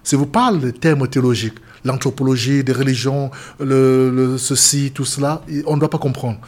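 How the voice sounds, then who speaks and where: Husky earnest Cameroonian pastor. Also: male voice, mid-range voice, steady tone, normal speed, darkish tone. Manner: earnest, repetitive, stumbles and restarts. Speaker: seamen's chaplain, pastor. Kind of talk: radio interview guest.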